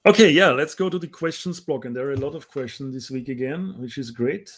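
A man's voice: mid-range voice, lively intonation, brisk, background noise. Austrian man, chatty co-host on a video call. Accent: Austrian German. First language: German. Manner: chatty, many um fillers, reads names out carefully. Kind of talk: casual video call chat. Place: home room with bookshelves. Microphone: video call with earbud.

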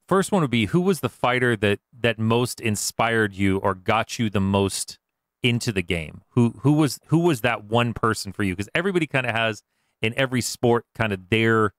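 A man hosting a sports show who asks questions in an easygoing, slightly halting way from a small studio mic. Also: fairly low pitch, a bit bright, no room sound. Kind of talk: casual interview. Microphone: studio microphone.

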